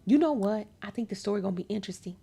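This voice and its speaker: A hoarse woman playing a story game, reacting excitably, laughing often and talking straight to her viewers. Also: medium pitch, racing. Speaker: game streamer. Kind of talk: let's play commentary.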